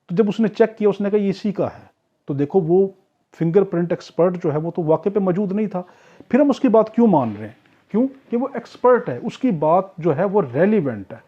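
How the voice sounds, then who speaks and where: A man with a Pakistani accent teaches in a didactic way, asking questions and answering them himself. His voice sits high for a man and is soft-toned, with a husky edge. He repeats each key point as he explains it. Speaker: teacher, law exam tutor. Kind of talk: explanatory lecture for exam preparation. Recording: dull, lo-fi audio.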